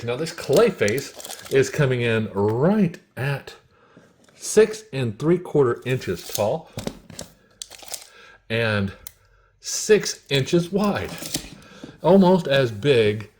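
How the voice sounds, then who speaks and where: Slow-paced man reviewing a toy. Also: medium pitch, a little mellow. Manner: unhurried, repetitive, pausing between short phrases. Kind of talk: casual unboxing and product review commentary.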